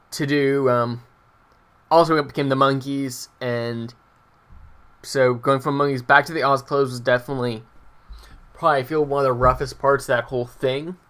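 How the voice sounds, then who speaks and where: A man's voice: medium pitch, fairly bright tone, clear, resonant tone. A young adult man telling personal stories casually as a vlogger, hesitant and meandering, often breaking off mid-sentence. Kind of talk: casual rambling personal storytelling.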